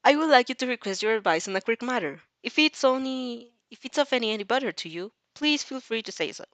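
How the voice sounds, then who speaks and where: A woman's voice: a little high, expressive, fast talker, a bit bright, no room sound. A woman voicing an earnest, formal teenage girl student, courteous and inquisitive, in a story reading. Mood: polite.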